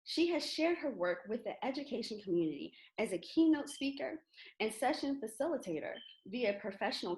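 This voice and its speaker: A woman's voice: a little high, darkish tone, low-quality recording. A woman hosting a children's reading event, reading out introductions and putting questions to a guest. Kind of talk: scripted introduction and interview.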